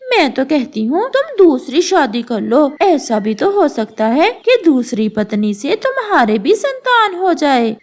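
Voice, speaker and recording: A woman's voice: sits high, sing-song, unhurried, a little mellow. A woman voicing a blunt, meddling, discontented female bird neighbour in a cartoon moral story, with a needling, pointed manner.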